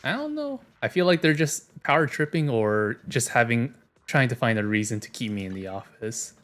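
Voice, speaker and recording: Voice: medium pitch, big pitch swings, moderate pace. A game streamer chatting casually and reading dialogue aloud on a livestream, sometimes stumbling and self-correcting.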